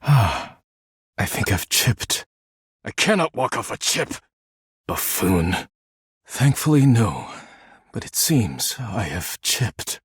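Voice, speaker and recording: An actor voicing a stern, proud, duty-bound living dishware caretaker in a video game, with a formal, clipped, solemn delivery and a husky voice. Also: male voice, on the low side, sing-song, slow, pauses often, no echo, clean studio sound, full character voice. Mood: hurt, cold. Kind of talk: video game character voice lines.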